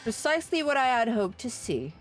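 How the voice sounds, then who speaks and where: A formal combat instructor from a fantasy video game, read aloud by a woman. The delivery is measured and encouraging, explaining drills and approving of the trainee. Faint music plays underneath. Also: on the high side, very animated.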